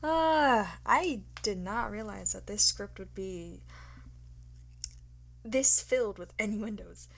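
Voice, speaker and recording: A woman's voice: mid-range voice, expressive, takes it slowly, vocal fry. A woman ASMR creator chatting casually and unscripted to her viewers in a flustered, giggly, sweary manner.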